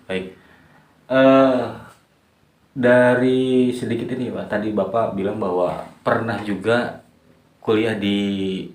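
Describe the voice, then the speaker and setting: A man's voice: on the low side, fairly even intonation, takes it slowly, pauses often. A man interviewing a guest, asking polite questions and calling him "Pak". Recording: echoey room.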